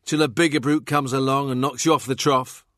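A man voicing a scrawny, bitter court fool with a shrill London voice, mocking and taunting, in an audiobook. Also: fairly even intonation, fairly quick, fairly bright tone, no room sound, studio quality, natural voice. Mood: jeering. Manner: mocking, jeering.

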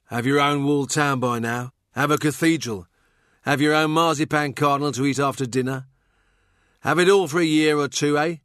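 A man voicing a scrawny, bitter court fool with a shrill London voice, mocking and taunting, in an audiobook. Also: fairly even intonation, normal speed, fairly bright tone, no room sound, clean studio sound, close to an everyday voice. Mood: jeering. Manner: mocking, jeering.

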